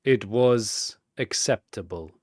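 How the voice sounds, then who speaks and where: A man's voice: lowish voice, slow pace, darkish tone, breathy edge, no echo, studio quality. A man playing a timid fighter who hides his panic behind a stoic front, speaking in a hesitant, subdued way.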